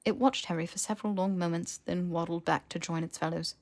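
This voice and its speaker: A woman reading fan fiction aloud as an audiobook narrator, in an expressive storytelling style. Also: fairly low pitch, a little fast.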